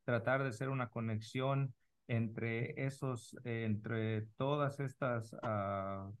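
A man's voice: medium pitch, steady tone, takes it slowly, clear, resonant tone. A man hosting a casual podcast conversation, talking in an informal, joking and rambling way with English words mixed in. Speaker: podcast host.

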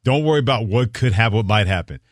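A man's voice: lowish voice, lively intonation, quick pace, dry recording, clean studio sound. An American man co-hosting casual sports talk, speaking in an avuncular, emphatic way. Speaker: sports radio co-host.

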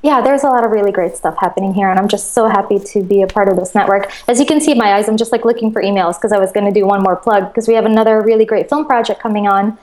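A woman's voice: medium pitch, quick pace, few pauses, darkish tone, rough recording. A woman from Russia, guest in a casual interview, talking enthusiastically and chattily about her crowdfunding platform over a video call. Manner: enthusiastic, chatty, laughs often.

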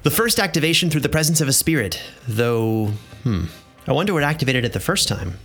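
A man's voice: mid-range voice, expressive, fairly quick, fairly bright tone, soft background music. A man playing a pensive fantasy researcher who mutters hesitant notes to himself in an audio drama.

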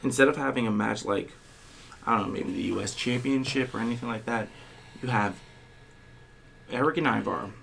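A man's voice: mid-range voice, normal speed, creaky, long pauses, a bit bright, a little hiss or hum. Playful man co-hosting a podcast. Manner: playful, boastful self-introductions. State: slight tickle in the throat, clearing it. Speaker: podcast co-host. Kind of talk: casual podcast banter.

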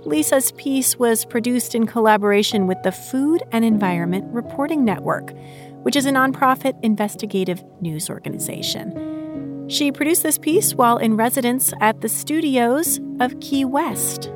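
A woman with a somewhat high voice hosts a radio magazine. She reads scripted announcements with light music in the background. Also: moderate pace. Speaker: radio program host. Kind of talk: scripted show credits.

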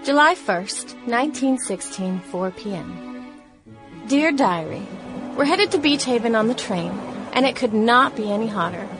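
A prim young woman of the 1910s played by an actress narrates her diary in a radio play. Her voice is fairly high and her phrasing old-fashioned and wry, with clear music underneath. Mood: wry complaint.

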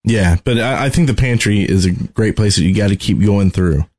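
Male co-host, slightly low voice, conversational, for a casual gaming discussion. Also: normal speed, a little mellow. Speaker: podcast co-host. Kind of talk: casual video game discussion.

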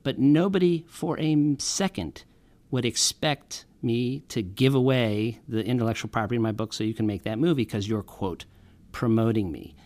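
A man's voice: medium pitch, moderate pace, darkish tone. A man speaking as a guest on a radio show, arguing emphatically and persuasively with everyday examples. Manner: emphatic, persuasive, builds analogies. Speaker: guest on a music industry show. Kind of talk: arguing a case in a radio discussion.

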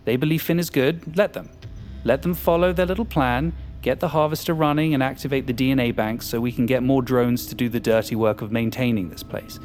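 An actor playing an arrogant, power-hungry hacker in an audio drama, with a smug, cynical and sardonic manner. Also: male voice, medium pitch, fairly quick, a little mellow, lightly acted. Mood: scheming, insistent. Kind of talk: audio fiction acting.

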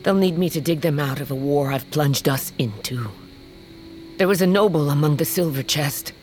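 A woman's voice: low-pitched, normal speed, a little mellow, close to an everyday voice. An actress playing an aging, dying warrior queen, blunt and regretful, in a fantasy audio drama. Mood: wry, blunt. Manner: weighty, regretful, rough-tongued. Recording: music underneath.

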